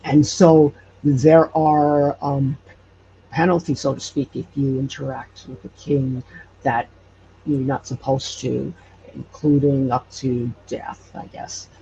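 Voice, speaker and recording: Slow, gravelly man in dull noisy audio. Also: medium pitch, flat delivery, mellow. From Hawaii. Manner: halting, searching for words. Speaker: podcast guest. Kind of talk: interview, explaining local legends.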